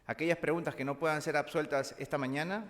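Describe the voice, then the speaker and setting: A man reading event announcements in a steady, official, scripted way. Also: a little high, quick pace. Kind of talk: formal announcement. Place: large conference hall. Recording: long hall reverb.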